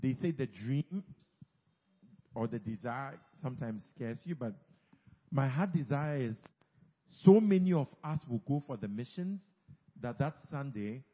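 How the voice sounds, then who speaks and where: Male pastor, husky, urging, echoing church hall, muffled sound. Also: mid-range voice, animated, measured pace, long pauses. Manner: urging, repeats phrases, teases congregation members. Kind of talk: sermon exhortation.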